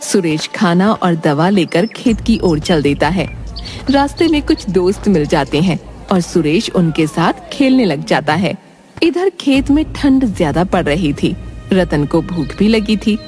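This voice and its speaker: A woman's voice: fairly low pitch, big pitch swings, measured pace, a little mellow. A woman narrating a scripted children's cartoon story in Hindi. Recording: music behind the voice. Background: background music.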